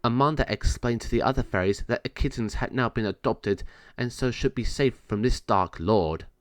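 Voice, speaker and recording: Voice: medium pitch, normal speed, no room sound. A narrator reading a children's story aloud in a scripted read-along style.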